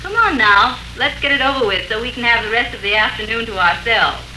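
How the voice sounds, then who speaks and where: A high female voice, an actress as a patient mother, calling out firmly and coaxingly. It is 1940s radio drama, heard at a distance through clear, strong noise. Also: lively intonation, brisk, lightly acted. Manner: calling out, coaxing but firm. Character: patient, firm mother calling her young daughter. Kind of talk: 1940s radio serial acting.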